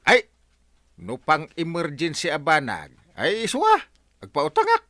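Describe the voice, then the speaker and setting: Exasperated comic neighbor, Ilocano accent, radio drama; bright, extremely animated pitch. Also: male voice, unhurried, no echo, full character voice. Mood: alarmed, protesting. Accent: Ilocano Filipino. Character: excitable, exasperated male neighbor refusing to lend money. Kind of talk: radio comedy-drama acting.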